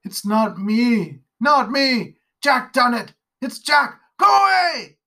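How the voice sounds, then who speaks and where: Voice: medium pitch, unhurried, fairly bright tone. Narrator doing a raving haunted backwoods father. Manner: rough rustic dialect, wild ranting. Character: haunted, violent, drunken rural father, gruff. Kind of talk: storybook character voice.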